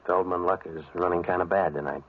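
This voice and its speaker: An actor playing a cynical, world-weary private eye in 1940s radio noir, wisecracking and clipped. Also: male voice, low-pitched, fairly even intonation, fairly quick, husky. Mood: wry, weary. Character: hardboiled, world-weary male private investigator narrating his case. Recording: muffled, noisy old radio recording.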